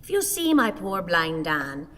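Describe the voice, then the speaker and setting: A woman's voice: lowish voice, very animated, unhurried, a bit bright. A woman acting a weary, querulous, gloomy old wife in a radio play, with theatrical complaint. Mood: bitter, despondent. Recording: hissy, reverberant hall recording.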